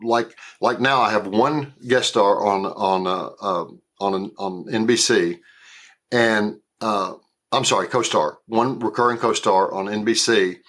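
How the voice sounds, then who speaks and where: Older Southern man, low easygoing voice. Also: unhurried, fairly bright tone. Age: 60s. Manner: rambling, repeats words and restarts often. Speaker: actor guest. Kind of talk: casual interview talk.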